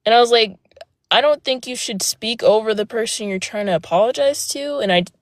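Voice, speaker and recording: A young woman with a soft voice telling a personal story on a live panel, hesitant with many fillers and restarts, speaking from outdoors. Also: on the high side, a little fast, dry recording, clean studio sound. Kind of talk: casual personal account. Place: outdoors near a road.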